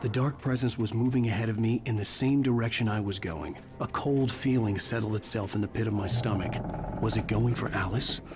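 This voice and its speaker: A man's voice: on the low side, fairly quick, lightly acted. A man playing a desperate thriller writer hunting for his kidnapped wife, brooding and weary, in a scripted video game performance. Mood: tense, grim. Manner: brooding, weary first-person narration. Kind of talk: scripted game narration and acted dialogue.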